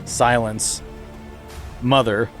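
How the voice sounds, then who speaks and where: A man's voice: medium pitch, flat delivery, drawn-out words, a bit bright, soft background music. A man, a podcast co-host, narrating stage directions in a comedic script reading, now and then stifling laughter.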